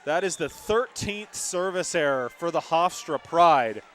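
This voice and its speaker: A young man calls a volleyball match live in a slightly high voice. He is emphatic, mixing the action with conversational remarks to his partner. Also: lively intonation, measured pace, a bit bright. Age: young adult. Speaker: volleyball play-by-play announcer. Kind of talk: live sports commentary.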